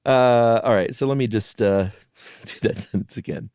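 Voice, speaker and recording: A man's voice: medium pitch, normal speed, a little mellow, faint music behind. A man doing a dramatic story reading, with casual conversational asides. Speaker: co-host reading horror stories aloud.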